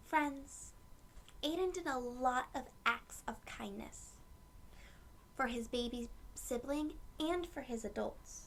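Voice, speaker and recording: A woman reading a picture book aloud to young children and explaining it gently in simple words. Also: high voice, a little hiss or hum, poor audio. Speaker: children's read-aloud host.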